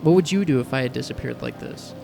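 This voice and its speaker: A male reader puts on a young woman in a dramatic story reading, raised well above a man's natural register. The voice is fretful, soft and pleading, with fry in it and a stubborn streak. Faint music sits underneath. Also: mid-range voice, fast, pitched above the performer's own voice. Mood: tearful, weary. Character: young woman, tearful worried sister, stubborn.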